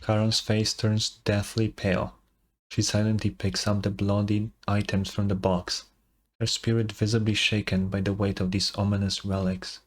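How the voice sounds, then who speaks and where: A man's voice: on the low side, level tone, moderate pace, slight background noise. A man streaming a video game, reading its story narration aloud with casual asides, over game music.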